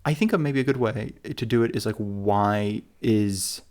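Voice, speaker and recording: A man's voice: on the low side, a little slow, a little mellow, clean tone. A man co-hosting a travel podcast in casual chat, rambling and joking at his own expense. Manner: rambling, self-mocking, full of fillers and restarts. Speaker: podcast co-host.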